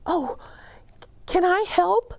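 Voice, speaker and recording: A woman's voice: extremely high pitch, drawn-out words, vocal fry, a little mellow, pitched above the performer's own voice, heavily acted. A woman voicing an eager, hopeful young boy in a children's storybook, exclaiming plaintively. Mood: delighted. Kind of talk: children's storybook read-aloud.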